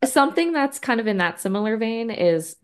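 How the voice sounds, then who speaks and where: A woman's voice: fairly high pitch, sing-song, a little fast. A woman chatting casually, supportive, often giving short agreements. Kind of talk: casual chat.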